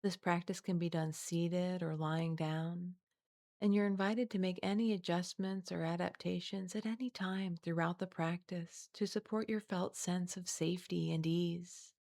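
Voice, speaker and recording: Female meditation guide, slightly low voice, soothing and unhurried, very level intonation, close dry recording. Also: steady tone, dry recording. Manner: gentle, soothing, unhurried delivery with long pauses. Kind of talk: guided body scan meditation, scripted and slowly spoken.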